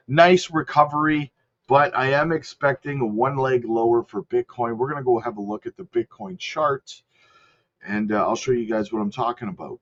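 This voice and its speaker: A man with a cold, host of a channel, casually talking through market charts and hedging his calls, clearing his throat now and then. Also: medium pitch, a bit bright. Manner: rattles off lists, chatty and hedging. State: sick with a cold. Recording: slightly dull lo-fi audio.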